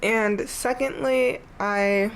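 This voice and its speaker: A woman's voice: on the high side, steady tone, drawn-out words, slight background noise, poor audio. A young woman with a stuffy, congested voice, chatting casually and rambling as she shows her sketchbook from her bed. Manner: chatty, rambling, says "like" often. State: congested, sniffly. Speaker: art vlogger. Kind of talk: casual sketchbook tour chat.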